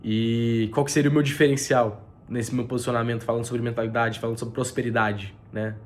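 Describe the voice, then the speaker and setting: A man's voice: mid-range voice, level tone, a little fast. A man speaking as a business mentor in a casual, earnest motivational talk mixing faith and money, his voice stuffy from a heavy cold, with many fillers and restarts. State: nasal and congested from a cold. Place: spot with a view over the city.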